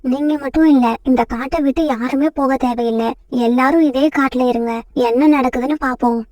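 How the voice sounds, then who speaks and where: A woman's voice: high voice, steady tone, a little fast, darkish tone, no echo, lightly acted. A woman voicing a principled, firm mother sparrow in a children's cartoon story. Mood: reassuring.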